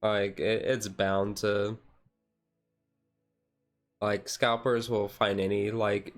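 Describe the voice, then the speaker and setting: A man chatting casually on a chill livestream, rambling along with lots of "like" and "and stuff". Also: on the low side. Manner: rambling, hedging with repeated "like" and "and stuff". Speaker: streamer. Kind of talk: relaxed ASMR chill stream.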